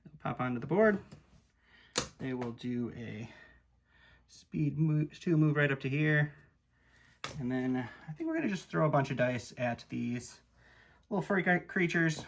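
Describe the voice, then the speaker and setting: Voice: mid-range voice, animated, unhurried, gravelly, long pauses. A tabletop wargame player casually narrating unit moves and dice rolls. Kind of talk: casual battle report play-by-play.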